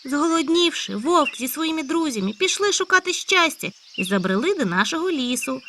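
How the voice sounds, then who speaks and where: Excitable little hare guy, a lady puts him on, way up high, swoops all over, birds chirping behind. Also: normal speed, a little hiss or hum. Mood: pleading. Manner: eager, exclaiming, high and loud. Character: excitable chatty male hare. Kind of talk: storybook character voice.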